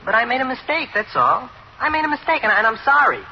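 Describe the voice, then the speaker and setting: A troubled teenage boy, pleading and sorry, in an old radio play. His voice is very sharp and quick. It rings with long reverb on a muffled recording. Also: very high-pitched, big pitch swings, a little hiss or hum, heavily acted. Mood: pleading, contrite. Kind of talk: old-time radio drama acting.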